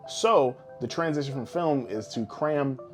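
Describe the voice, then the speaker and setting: A man's voice: mid-range voice, unhurried. A man co-hosting a podcast, chatting casually and informally with strong opinions and some swearing. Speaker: podcast co-host. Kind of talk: casual podcast chat about pop culture. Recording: music playing under the voice. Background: background music.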